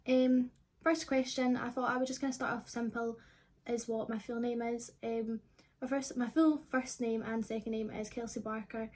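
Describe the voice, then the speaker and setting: Mellow young Scottish woman chatting casually. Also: fairly high pitch, flat delivery, normal speed. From Scotland. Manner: informal, rambling, frequent "like". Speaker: vlogger answering viewer questions. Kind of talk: casual chat to camera.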